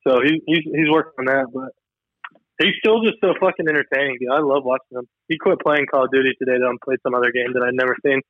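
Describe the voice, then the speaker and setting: A young man drifts through long, loose stories, swearing offhandedly as he goes. He sits at a middle pitch. His voice comes over a remote call line that sounds dull and lo-fi, with no room sound. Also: steady tone, fast talker, no echo. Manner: rambling, meandering, swears casually. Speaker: podcast co-host. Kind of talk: casual chat.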